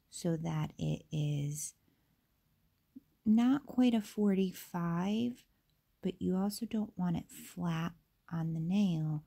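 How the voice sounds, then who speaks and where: A young woman with a slightly raspy voice from feeling unwell, casually talking through a nail tutorial with many fillers and asides. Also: on the low side, mellow.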